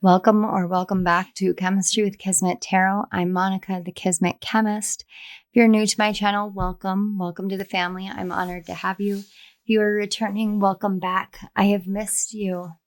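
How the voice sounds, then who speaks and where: A woman with a slightly scratchy, soft voice from throat strain, chatting affectionately as a tarot reader, speaking close to her microphone. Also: medium pitch, little pitch movement, moderate pace. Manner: chatty and affectionate, many fillers. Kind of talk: casual spiritual vlog chat.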